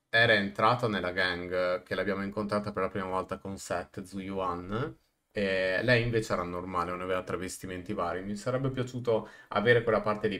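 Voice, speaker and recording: A man giving casual reaction commentary, halting and repeating words for emphasis. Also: on the low side, fairly bright tone. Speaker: streamer reacting to a game trailer. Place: small room indoors.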